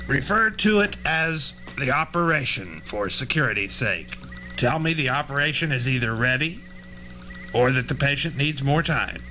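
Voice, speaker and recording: Man acting a commanding, lively-voiced military general. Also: mid-range voice, faint music behind. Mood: concerned, secretive. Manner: formal, authoritative, brisk questioning. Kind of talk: old-fashioned radio drama acting. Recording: noisy old tape with hum.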